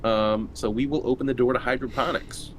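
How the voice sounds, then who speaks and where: A man's voice: medium pitch, steady tone, brisk. A man taking part in a tabletop roleplaying game as a player, chatting casually in and out of character. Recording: faint background noise.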